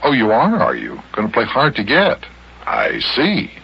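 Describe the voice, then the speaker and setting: A man with an American accent playing a patient, dryly amused grown-up who gently scolds a boy in a children's radio serial. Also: medium pitch, wildly swooping pitch, moderate pace, vocal fry, slightly rough, heavily acted. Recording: hissy, dull old radio recording.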